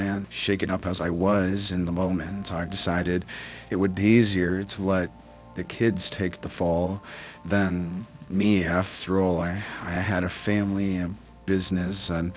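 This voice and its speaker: Very deep-voiced, haunted rich businessman, acted by a man. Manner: halting, hesitant, repeating words. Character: guilt-ridden rich businessman confessing to his child. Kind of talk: dramatic roleplay voice acting.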